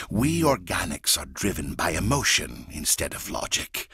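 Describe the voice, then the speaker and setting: A man voicing a menacing, fanatical alien warlord for a video game, gravelly and raspy, with a grandiose, deliberate, intense delivery. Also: deep voice, pushed and bright, no echo, studio quality, full character voice. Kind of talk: dramatic video game voice acting.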